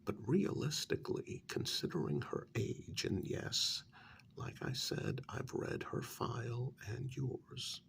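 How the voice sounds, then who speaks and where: A man acts out a male vampire official in an intimate ASMR role-play, patient yet exasperated. His soft, breathy voice crackles with very heavy fry and swings widely in pitch. He coaxes haltingly, often close to a whisper, over faint background noise. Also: full character voice. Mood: frank, puzzled. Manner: halting, coaxing, many pauses.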